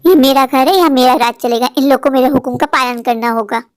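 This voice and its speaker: A woman's voice: high voice, fairly quick, smooth, lightly acted. A woman voicing a bossy, self-pitying older mother-in-law who scolds and complains in a comic family skit. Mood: grumbling. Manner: scolding, complaining, shouting for her daughters-in-law.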